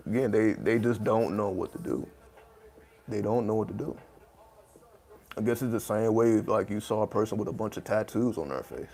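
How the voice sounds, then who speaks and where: A man with a deep voice talking in a laid-back, casual monologue, loose with slang and swearing. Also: mellow. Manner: laid-back, profane, streetwise slang, drawn-out phrasing. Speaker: channel host.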